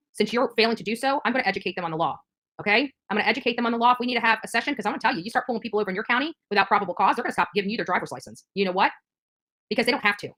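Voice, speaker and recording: A woman's voice: medium pitch, pushed and bright. A middle-aged woman giving an angry, confrontational rant straight to the camera, indignant and repeating her points. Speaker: activist streamer.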